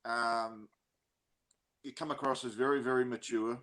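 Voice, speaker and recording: A man's voice: medium pitch, fairly even intonation, a little slow, fairly bright tone. A middle-aged man hosting a podcast, asking rambling questions and joking around. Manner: jokey, chatty, rambling questions. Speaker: podcast host. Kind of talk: casual interview chat.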